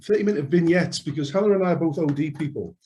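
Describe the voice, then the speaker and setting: A man's voice: fairly high pitch, fast, dark tone. A middle-aged man with a Liverpool accent co-hosting an unscripted podcast, jokey and rambling with frequent restarts. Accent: Liverpool (Scouse). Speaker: podcast co-host.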